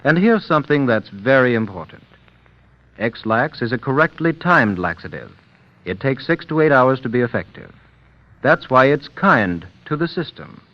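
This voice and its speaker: Animated old-time radio ad announcer, male. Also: medium pitch, normal speed. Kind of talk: scripted 1930s radio commercial. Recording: dull old radio recording, music.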